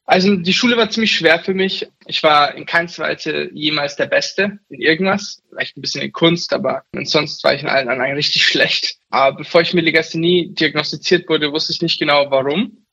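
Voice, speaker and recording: A man's voice: a little high, creaky, bright, forceful tone, breathy edge, highs cut off. A man in his twenties speaking earnestly and reflectively about his own life in an interview. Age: 20s. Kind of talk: personal testimony.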